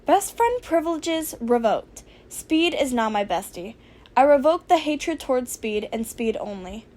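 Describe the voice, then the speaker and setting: A woman's voice: high-pitched, lively intonation, a little slow, darkish tone. Woman voicing a snarky, dramatic teenage boy hacker. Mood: mock offended. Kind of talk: fan fiction audio reading.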